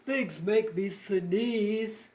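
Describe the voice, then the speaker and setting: A woman voicing an anxious, melodramatic elephant in a children's storybook, halting and stammering. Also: mid-range voice, pitched below the performer's own voice. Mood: hesitant. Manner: halting, stammering, overdramatic with loud achoos. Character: anxious, melodramatic sneezing elephant, friend of a piggy. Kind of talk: children's storybook read-aloud.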